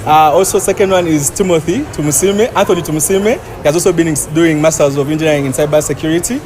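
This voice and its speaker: A man's voice: on the high side, fast, background noise. A young man with a Ugandan accent speaking earnestly and formally as spokesman for a group of graduates. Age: young adult. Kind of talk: informal spoken address to media. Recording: outdoor crowd and street sounds.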